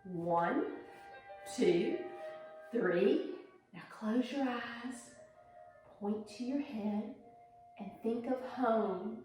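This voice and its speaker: A woman's voice: a little high, extremely slow, pauses often, darkish tone. A woman teaching a pantomime and ballet lesson on video, demonstrating as she talks with dramatic emphasis. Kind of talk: instructional video dance lesson. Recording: echoey room with music.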